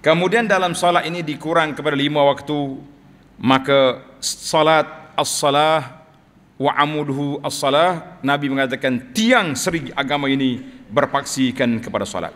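Malaysian preacher in echoing mosque hall. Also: male voice, on the high side, a bit bright. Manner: declamatory, slows to stress key words. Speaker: Friday preacher. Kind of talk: Friday sermon. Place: mosque pulpit, indoors. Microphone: two pulpit microphones on stands. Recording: long reverb of a large mosque hall.

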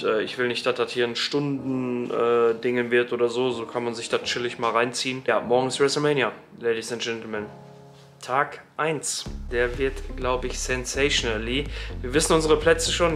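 A man's voice: medium pitch, fairly even intonation, moderate pace, a bit bright, faint music behind. A man in his thirties hosting a casual vlog, talking slangy and straight to viewers into a phone held at arm's length. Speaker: vlogger host. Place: arena crowd, then hotel room.

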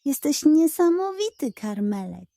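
A sweet young fairy-tale princess with a high, mellow voice, played by a woman storyteller. She is plucky and encouraging, in a storybook style, recorded dry. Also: sing-song, measured pace, gravelly, no echo, full character voice. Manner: encouraging, spirited.

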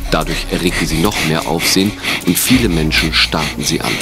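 A man narrates a scripted radio play. His voice sits very low, and music plays clearly behind him. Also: moderate pace. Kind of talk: scripted audio drama narration.

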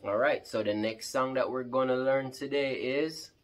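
A man's voice: mid-range voice, steady tone, normal speed. A man in his thirties hosting a children's read-along in an encouraging way, speaking to viewers and a child at home. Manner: encouraging, praising. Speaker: parent-style host of a children's story time channel. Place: living room, indoors on a couch.

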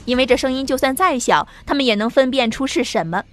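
A woman's voice: sits high, fast talker. A woman narrating a science fiction audiobook in a scripted, dramatic storytelling style. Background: background music.

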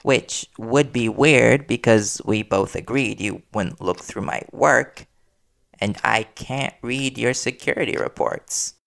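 A man playing a worried reporter boyfriend in an intimate audio roleplay, earnest and halting as he gently confronts his partner. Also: medium pitch, gravelly. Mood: suspicious, stern.

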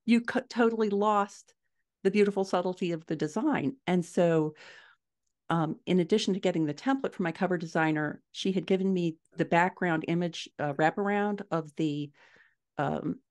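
A middle-aged woman answering interview questions conversationally, telling stories in an animated way on a video call from a room at home. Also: on the low side, moderate pace. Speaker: podcast guest, indie author. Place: small furnished room at home, indoors.

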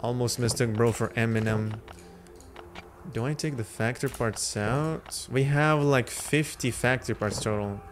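A man's voice: mid-range voice, unhurried, music in the background. Young man streaming games, rambling slangy chat. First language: Lithuanian. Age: young adult. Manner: rambling, slangy, answers chat between game moves. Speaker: livestreamer. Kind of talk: casual livestream chat while gaming. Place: home streaming room, indoors. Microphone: headset.

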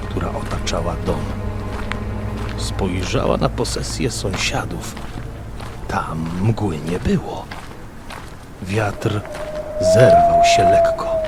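A man narrating a scripted fantasy story in a measured, dramatic way. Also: lowish voice. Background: music bed.

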